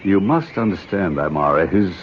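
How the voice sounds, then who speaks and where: A low male voice plays a stern, condescending expedition leader in an old American radio drama. He lectures with formal authority. The sound is dull, with faint broadcast noise. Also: expressive, moderate pace. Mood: exasperated lecturing. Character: stern, condescending older archaeologist uncle leading a jungle expedition.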